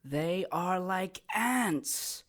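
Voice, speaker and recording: An actress playing a sadistic, cynical immortal villainess in an audio drama, taunting in a deliberate, theatrical way. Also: female voice, fairly low pitch, lively intonation, full character voice. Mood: smug, philosophical. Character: gleeful immortal female villain who controls corpses, sadistic and cynical.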